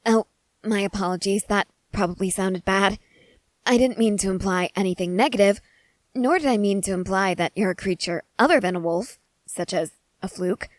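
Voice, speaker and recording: A woman playing an excitable, curious scientist in a scripted ASMR roleplay, speaking in eager, wordy, formal and apologetic phrases. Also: mid-range voice, moderate pace, dry recording, lightly acted. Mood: musing, apologetic.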